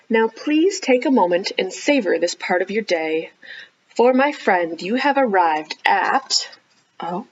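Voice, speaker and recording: A woman children's librarian reading a picture book aloud expressively, with animated, encouraging chatty asides to young listeners. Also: mid-range voice, dull audio. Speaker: children's librarian hosting storytime.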